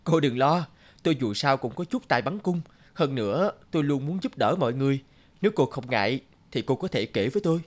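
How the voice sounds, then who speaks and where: An actor playing a brave, earnest young archer hero in a cartoon fairy tale. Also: male voice, mid-range voice, lively intonation, fairly quick, close to an everyday voice. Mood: earnest, reassuring. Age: young adult.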